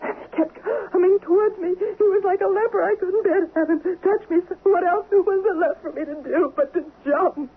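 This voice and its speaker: An actress playing a fragile, frightened wife in a 1970s radio drama, with halting, breathless, dramatic delivery on a muffled old recording. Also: female voice, very high-pitched. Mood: terrified, tearful. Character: frightened wife recounting a nightmare, soft and fragile.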